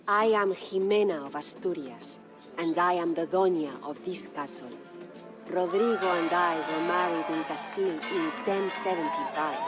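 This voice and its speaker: A proud, mourning Spanish noblewoman voiced by an actress tells a dramatic game story. Her voice sits on the low side, with formal, measured and solemn phrasing. Music plays clearly behind her. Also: lightly acted. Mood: wistful, proud. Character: proud grieving noble Spanish widow, lady of a castle. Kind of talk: dramatic scripted storytelling narration.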